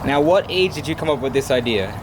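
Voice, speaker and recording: Male interviewer, brief direct questions, steady mid voice, noisy background. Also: mid-range voice, fast.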